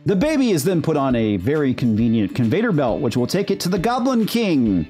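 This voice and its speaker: A man's voice: fairly high pitch, wildly swooping pitch, a little fast, mellow, faint music behind. A man delivering scripted critical commentary in a sarcastic, mocking manner. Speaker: video host, TV critic.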